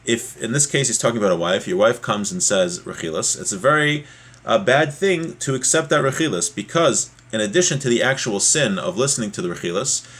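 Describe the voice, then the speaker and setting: A man speaks as a religious teacher in an informal lesson. His voice sits at an average male pitch, and he explains the text in a plain, conversational manner, adding asides of his own. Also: expressive, a little fast, fairly bright tone. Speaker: religious teacher giving a class.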